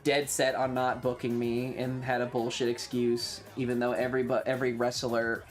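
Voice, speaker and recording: A man's voice: mid-range voice, completely flat delivery, moderate pace, soft background music. A man chatting casually on a livestream, rambling and swearing with enthusiasm as he reacts and gives his takes.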